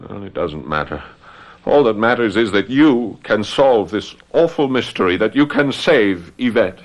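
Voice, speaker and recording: An actor playing a distraught young French gendarme in a radio mystery drama, stammering and agitated in a melodramatic way. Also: male voice, lowish voice, lively intonation, normal speed, natural voice. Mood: relieved, anxious. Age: young adult.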